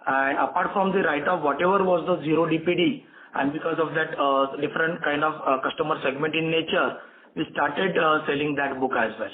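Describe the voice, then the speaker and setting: A man with an Indian accent answering analysts as a company executive on an earnings call, haltingly and repeating words, over a phone conference line. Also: fairly high pitch, fairly even intonation, phone-quality sound, crowd noise.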